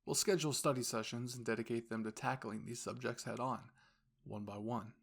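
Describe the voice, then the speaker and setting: A man's voice: mid-range voice, steady tone, creaky. A man voicing a composed, formal young university student and caring boyfriend, speaking intimately and reassuringly to the listener in an audio roleplay. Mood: calm, reassuring. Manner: measured, formal, gently reassuring phrasing.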